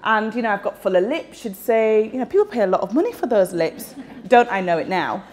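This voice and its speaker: A woman's voice: medium pitch, animated, a little fast, a little mellow. A young woman with a West Yorkshire accent, giving a chatty talk at a meetup into a handheld mic in a large event space. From West Yorkshire, England. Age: young adult. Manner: chatty, with many fillers. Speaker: speaker at a tech meetup. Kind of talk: casual presentation talk. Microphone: handheld microphone.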